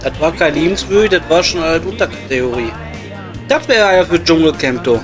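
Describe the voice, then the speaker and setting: A man's voice: on the high side, lively intonation, fairly quick, over music, lightly acted. A man playing a blunt, disdainful coal miner with a Ruhr accent in an improvised comedy audio play. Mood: mocking. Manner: blunt, crude, colloquial. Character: coarse, disdainful coal miner, hard-drinking pub regular.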